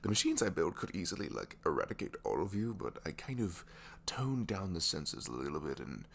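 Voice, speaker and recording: A man's voice: sits low, very animated, fairly quick, vocal fry, heavily acted. A man playing a reluctant, secretly kind supervillain inventor in an ASMR roleplay, hesitant, wry and self-deprecating, rambling and sometimes dropping toward a whisper. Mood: weary, wry. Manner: hesitant, wry, rambling, trailing tag questions, sighs, drops to whispers. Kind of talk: ASMR roleplay audio drama, one-sided conversation.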